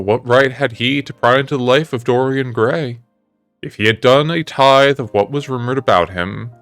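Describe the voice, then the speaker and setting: A man reading a classic novel aloud as dramatic narration, measured, deliberate and grave. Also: medium pitch, fairly bright tone. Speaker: audiobook reader.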